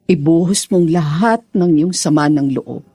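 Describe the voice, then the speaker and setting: Casting: an actor playing a husky, mellow remorseful mother, slow and pleading. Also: mid-range voice, no room sound. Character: remorseful mother begging her son's forgiveness. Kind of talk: radio drama acting.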